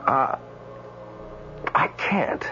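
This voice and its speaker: An actor playing an indignant, newly dead man in a radio drama, speaking with hesitant stammers and dramatic pauses. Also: bright, forceful tone. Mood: hesitant, bewildered. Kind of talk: radio drama acting with first-person narration. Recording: dull radio broadcast, faint mains hum.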